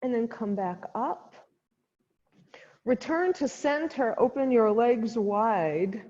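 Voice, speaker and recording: A woman leading a guided chair yoga class as the instructor, giving gentle step-by-step cues with pauses, in a muffled, reverberant recording. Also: fairly high pitch, expressive. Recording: dull sound in a long-reverb hall.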